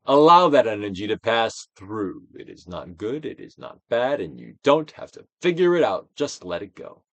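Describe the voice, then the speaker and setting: A man giving a theatrical, Shakespearean-style dramatic reading of a self-help book, with deliberate pauses. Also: fairly low pitch, big pitch swings, a bit bright, dry recording. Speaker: audiobook reader, actor.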